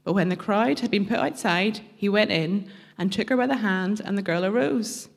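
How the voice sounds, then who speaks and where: Woman reading scripture with a gravelly edge. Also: medium pitch, moderate pace. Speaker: scripture reader.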